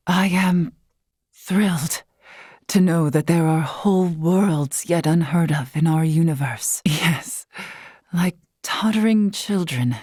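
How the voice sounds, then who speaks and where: An actress playing a wise, long-lived female scholar in a video game, composed and curious, speaking in formal, measured, eloquent phrases. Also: sits low, no room sound, studio quality, heavily acted. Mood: quiet wonder. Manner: formal, measured, eloquent, softly breathy at times. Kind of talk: scripted video game character dialogue.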